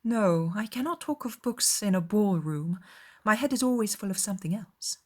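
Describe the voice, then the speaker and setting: A woman's voice: medium pitch, expressive, moderate pace, voice pushed up, lightly acted. A woman voicing a witty, outspoken young lady with an arch, articulate and emphatic manner in a dramatized audiobook. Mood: flustered.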